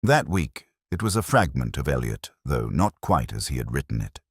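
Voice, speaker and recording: Man, extremely deep voice, lively intonation, dry close sound, reflective detective narration. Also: husky, dry recording. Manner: measured, reflective, deliberate. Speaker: first-person detective narrator. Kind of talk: scripted literary narration.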